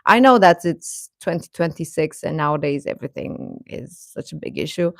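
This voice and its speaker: A woman hosting a casual, opinionated live chat, speaking haltingly and defensively with repeated words. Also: sits low, animated, vocal fry, dry recording, clean studio sound. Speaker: livestream host and commentator.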